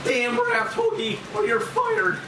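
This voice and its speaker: A woman plays a frantic, angry man who snaps out demands in a table read. The pitch is very high and swings wildly. The room is echoey, with the voice off-mic amid loud background noise. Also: big pitch swings, slow pace, recorded from a distance, over loud music, heavily acted. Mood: angry, demanding. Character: panicked, angry man terrified of drowning, profane and bossy.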